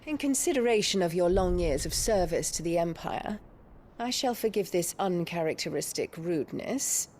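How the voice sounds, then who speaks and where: A woman acts out a scheming imperial noblewoman. She is haughty and venomous, speaking with formal, condescending deliberation as in a dramatic game cutscene. A faint rumble sits underneath. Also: animated, normal speed, a little mellow, lightly acted. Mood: coldly commanding.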